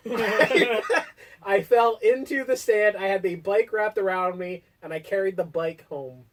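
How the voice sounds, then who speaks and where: A man's voice: high voice, slow. An American man in his early thirties from Connecticut, co-hosting and telling stories in a casual chat. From Connecticut, United States. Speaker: co-host. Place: basement room. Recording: voice somewhat distant from the mic.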